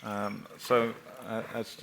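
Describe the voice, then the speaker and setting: A man with a lowish voice hosts a conference panel from a stage. He speaks politely and invitingly, pausing often with fillers as he introduces guests and takes questions. A faint hum of the hall sits under his voice. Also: monotone, gravelly, a bit bright. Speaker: panel moderator.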